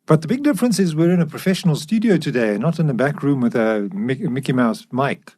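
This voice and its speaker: A man's voice: mid-range voice, expressive, a little fast, darkish tone. A man co-hosting a podcast on a studio microphone, chatting informally and putting questions conversationally. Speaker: podcast co-host. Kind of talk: casual co-hosted podcast discussion. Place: professional recording studio.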